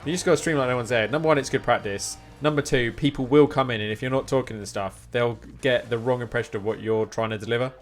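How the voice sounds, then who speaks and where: A man's voice: medium pitch, fast talker, hardly any pauses, a little hiss or hum. A man live streaming, chatting to his viewers in an informal, rambling, encouraging way. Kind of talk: casual chat with stream viewers.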